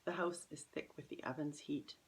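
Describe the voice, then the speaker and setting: Low, creaky-voiced woman reading a story. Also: fairly even intonation, normal speed, whispered, poor audio. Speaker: author reading their own children's story. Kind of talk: scripted storybook narration in first person.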